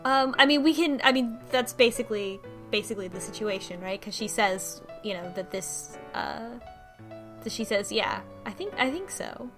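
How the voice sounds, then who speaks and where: A woman's voice: fairly high pitch, expressive, moderate pace. A woman co-hosting a podcast, casually recapping a story and informally acting out the characters' lines. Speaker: podcast co-host recapping a visual novel. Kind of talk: casual chat, plot recap from notes. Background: music under the talk.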